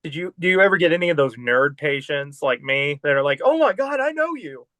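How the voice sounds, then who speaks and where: A man hosts an interview and asks questions with eager fan excitement. His voice sits fairly high for a man. The sound is dry and close, with no room echo. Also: brisk. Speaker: channel host.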